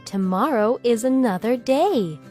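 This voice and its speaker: A woman reading a children's bedtime story aloud, expressively, with gentle, drawn-out phrasing and acted emphasis. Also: a little high, expressive, soft background music. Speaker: storybook reader.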